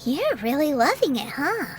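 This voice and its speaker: A squeaky, extremely high voice, plays a tiny fairy guide in a video game. The delivery swings widely, bossy and playful, with music behind it. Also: female voice, big pitch swings, moderate pace. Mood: chirpy. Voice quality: squeaky, childlike.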